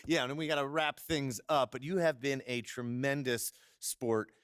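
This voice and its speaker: A man's voice: medium pitch, normal speed, fairly bright tone, no room sound, clean studio sound, natural voice. An actor playing a polished, courteous male sports talk show host who asks questions and wraps up the segment. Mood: wrapping up. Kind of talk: video game cutscene voice acting.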